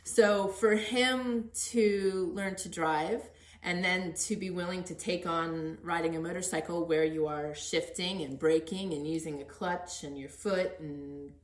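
A woman's voice: fairly low pitch, echoey room. A middle-aged woman hosting a casual conversational interview in an encouraging manner. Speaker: podcast host interviewing her son.

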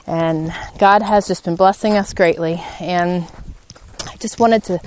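A woman's voice: fairly low pitch, fairly even intonation, unhurried, darkish tone. A woman hosting a solo podcast, talking informally and earnestly as she encourages her listeners. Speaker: podcast host. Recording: clearly noisy background with clicks.

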